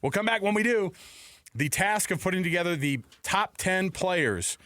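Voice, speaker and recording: A man's voice: high voice, expressive, normal speed, fairly bright tone, no echo. A man co-hosting a casual sports talk radio show, speaking close to a studio mic.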